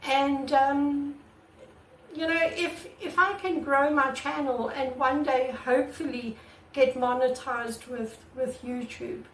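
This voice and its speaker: A woman artist with a croaky voice, talking casually and earnestly to her channel's viewers, recorded on a camera mic without a proper microphone. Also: high voice, steady tone, takes it slowly, fairly bright tone, far from the mic, slight background noise. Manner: earnest and repetitive, many restarts. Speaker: artist vlogger. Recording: echoey room, away from the mic.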